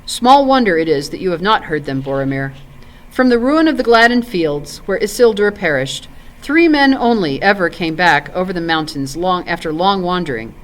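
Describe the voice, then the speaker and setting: Female narrator for literary read-aloud: measured, expressive, with widely moving pitch. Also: low-quality recording. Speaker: volunteer story reader. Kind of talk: scripted storybook narration.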